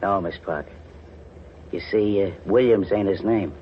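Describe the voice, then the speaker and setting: A man's voice: low voice, normal speed, close to an everyday voice. An actor playing a smug, dim hired thug in a 1940s radio crime drama, with threatening, uneducated tough-guy speech. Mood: smug threat. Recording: muffled old radio recording, faint noise.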